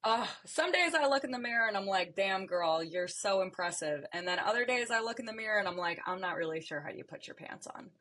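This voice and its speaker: A woman's voice: medium pitch, animated, fairly quick, hardly any pauses, sharp tone. A woman in her thirties, a podcast guest, chatting casually and joking about herself. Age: 30s. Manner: self-deprecating, laughing along. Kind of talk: casual interview chat.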